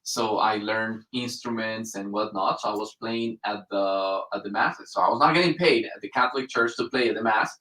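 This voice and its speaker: A man, a Spanish speaker, talks as a guest with animated enthusiasm. He is miked from a distance in a roomy space. His voice sits at a mid pitch. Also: moderate pace, hardly any pauses. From Colombia. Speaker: guest entrepreneur. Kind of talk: casual interview.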